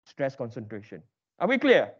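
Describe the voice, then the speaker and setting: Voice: mid-range voice, huge pitch swings, normal speed, breathy edge, muffled recording. An engineering lecturer teaching interactively in a classroom, quizzing students with emphatic, repeated questions and prodding them for answers.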